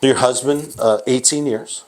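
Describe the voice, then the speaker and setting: A man's voice: medium pitch, unhurried, faint music behind, rough recording. A man hosting a casual company interview as its CEO, chatty and teasing, with many restarts.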